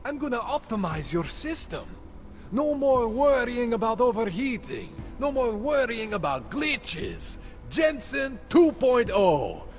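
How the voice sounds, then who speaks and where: An actor playing an excitable, boastful male augmentation doctor in a video game cutscene. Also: very high voice, slow, soft background music, exaggerated voice. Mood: eager. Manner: eager, boastful, joking. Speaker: tech specialist fixing implants.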